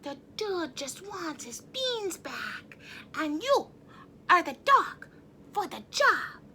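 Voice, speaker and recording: A woman's voice: very high-pitched, very animated, slow, sharp tone, a little hiss or hum, full character voice. A woman voicing a solemn old male dog leader in a storybook, declaring in a somber tone.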